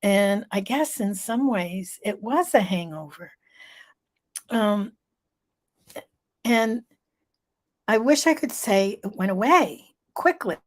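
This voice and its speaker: Slow-talking stuffy middle-aged woman. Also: mid-range voice, expressive. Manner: slow, storytelling, emphatic repetition. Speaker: vlog host. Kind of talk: live vlog reflection.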